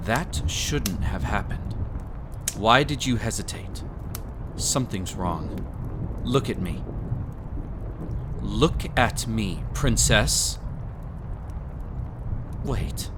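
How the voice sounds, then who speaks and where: A man's voice: lowish voice, animated, very slow, pauses often, background noise. A man playing a possessive, smug prince in a romantic audio roleplay, with a silky, condescending, theatrical delivery. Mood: alarmed, commanding. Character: possessive, smug young prince with hypnotic magic.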